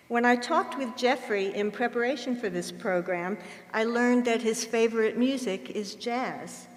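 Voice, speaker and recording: A woman's voice rings with long reverb in a large hall. She is delivering prepared remarks as the host of a formal event. Her phrasing is measured and deliberate, at a middle pitch. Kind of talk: prepared speech introducing a program.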